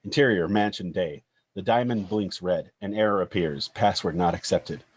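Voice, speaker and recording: A man's voice: sits low, normal speed, husky, rough recording. A man narrating a screenplay's stage directions in a scripted table-read style.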